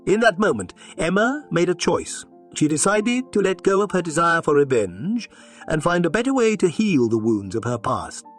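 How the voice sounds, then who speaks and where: A man narrating a scripted dramatic story in a measured, expressive read-aloud delivery. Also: a little high, soft background music. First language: English.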